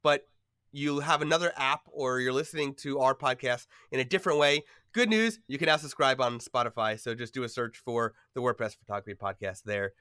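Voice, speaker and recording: A man's voice: mid-range voice, a little fast, bright, forceful tone, clear, resonant tone, no room sound, studio quality. Congested man hosting a podcast. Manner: chatty, filler-heavy, laughs often. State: nasal from a cold. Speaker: podcast host. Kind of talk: casual podcast chat.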